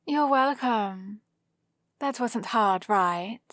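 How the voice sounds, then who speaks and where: A woman's voice: on the high side, very slow, fairly bright tone, no echo. A British woman playing a haughty supervillain in an ASMR roleplay, dry, sardonic and commanding, with a grudgingly caring streak, close to the microphone. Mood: commanding, threatening. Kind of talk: ASMR roleplay, acted monologue to the listener.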